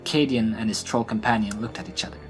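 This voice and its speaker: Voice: mid-range voice, fairly even intonation, fast. A narrator reading a scripted fantasy story in an audio drama. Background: music.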